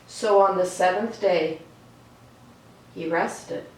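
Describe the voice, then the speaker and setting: A woman reading aloud for a children's storytime in a slow, deliberate, expressive way. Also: fairly low pitch. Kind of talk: read-aloud storytime with chatty asides. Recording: echoey room, distant mic, faint noise.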